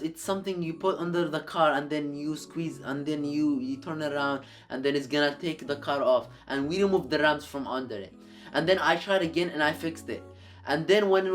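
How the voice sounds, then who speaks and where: A man's voice: on the high side, a bit bright. Earnest man, Arabic first language. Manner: earnest, repeats and restarts words. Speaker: YouTuber. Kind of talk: motivational advice to camera.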